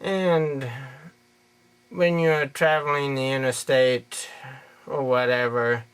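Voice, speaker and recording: A man's voice: medium pitch, level tone, slow, a bit bright, clean tone. An American man giving an unscripted opinion monologue in a rambling, folksy, plain-spoken way. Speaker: video creator giving an opinion.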